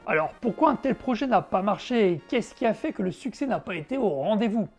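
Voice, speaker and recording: Mellow Frenchwoman presenting over background music. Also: medium pitch, animated, brisk. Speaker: host of a retro video game show. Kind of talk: scripted review narration with casual asides.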